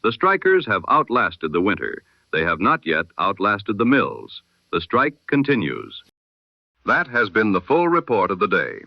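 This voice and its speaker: Animated low-voiced man reading radio news. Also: measured pace, no echo, lo-fi sound. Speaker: news bulletin presenter. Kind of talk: scripted 1920s-style radio news bulletin.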